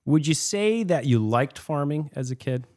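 A younger man hosting a podcast, reading a clear scripted intro and then asking easy questions. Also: on the high side, animated, normal speed, darkish tone. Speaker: podcast host. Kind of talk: podcast interview.

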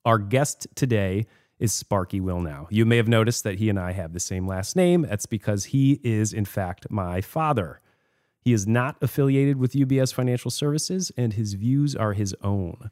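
A younger man hosts a podcast interview. He opens with a clear, scripted welcome. Then he moves on to relaxed, friendly questions. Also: on the low side, moderate pace. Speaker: podcast host.

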